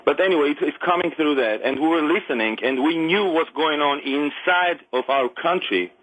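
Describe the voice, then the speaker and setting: A middle-aged man from Armenia whose first language is Armenian, speaking English with an Armenian accent. He calls in to a radio show and talks earnestly, haltingly, with many restarts and non-native grammar. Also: a little high, narrow, tinny audio. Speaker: caller. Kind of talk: call-in radio conversation.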